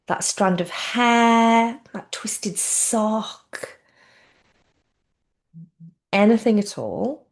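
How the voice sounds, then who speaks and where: A woman teaching yoga nidra, giving gentle guided relaxation in a soothing, unhurried and informal way. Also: medium pitch.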